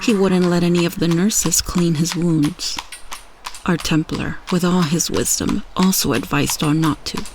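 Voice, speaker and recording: A woman playing a loyal, solemn royal handmaiden in a fantasy audio roleplay, speaking gravely in formal, archaic phrasing. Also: fairly low pitch, steady tone, a little slow, noisy background. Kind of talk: fantasy ASMR roleplay acting.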